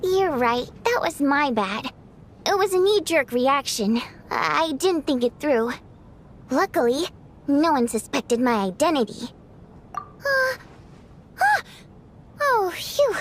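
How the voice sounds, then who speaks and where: An actress playing a lively, chatty young woman in an anime-style video game, speaking expressively. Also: high-pitched, takes it slowly, pauses often, a little hiss or hum, full character voice. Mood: relieved. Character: lively young woman, secret member of underground group.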